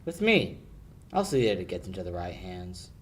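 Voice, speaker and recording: A man's voice: low voice, very animated, normal speed, a little hiss or hum. A young male amateur actor playing a cocky, wisecracking sleuth with a confident, needling manner in a 1940s radio drama style. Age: young adult. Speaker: amateur high school actor.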